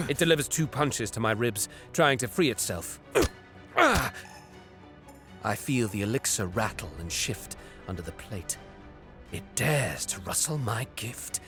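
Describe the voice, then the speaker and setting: Man playing a low-voiced, haughty alien raider. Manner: menacing, disdainful, deliberate. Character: cruel, haughty alien raider warrior, narrating his hunt. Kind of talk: scripted dramatic first-person narration. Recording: music behind the voice.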